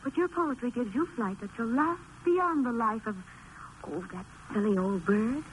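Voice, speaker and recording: Woman playing a high-voiced, devoted, dreamy young wife. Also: lively intonation, moderate pace, natural voice. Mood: lyrical, fervent. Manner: tender, lyrical, theatrical. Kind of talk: 1950s radio drama acting. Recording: noisy old 1950s radio recording.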